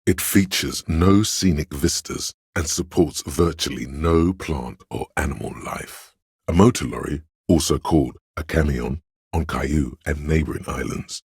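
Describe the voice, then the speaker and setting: A man voicing a know-it-all inner voice of encyclopedic trivia in a video game, measured, pedantic and dryly wry. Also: very deep voice, darkish tone, husky, no echo, studio quality, full character voice. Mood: measured, erudite.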